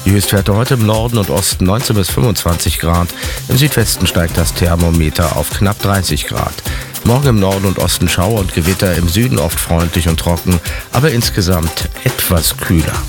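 A man reading sports news and the weather forecast on the radio in a steady, formal way. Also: deep voice, moderate pace, over music. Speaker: radio newsreader.

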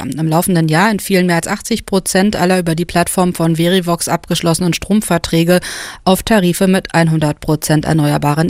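Gravelly, dry-voiced male news presenter. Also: high-pitched, no echo. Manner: steady, even delivery. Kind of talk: read news bulletin.

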